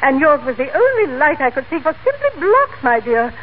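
An actress playing a pompous, genteel society matron with an affected, gushing manner in a 1940s radio comedy. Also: female voice, high-pitched, sing-song, a little fast. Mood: fluttery, affected. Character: fluttery, pompous high-society matron, middle-aged woman. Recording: tinny old radio recording, faint noise.

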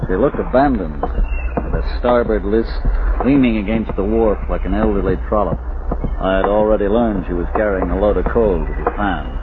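An actor playing a tough, wry waterfront investigator who narrates in a clipped, deliberate 1940s radio crime drama style. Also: male voice, lowish voice, lightly acted. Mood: dry, hard-boiled. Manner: hard-boiled, clipped, deliberate. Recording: tinny, noisy old radio recording.

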